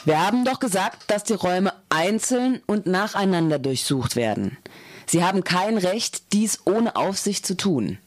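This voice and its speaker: A man acting a stern, protective activist flatmate in an amateur radio play, with an insistent and brusque manner. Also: sits high, measured pace. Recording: noisy amateur recording with clicks.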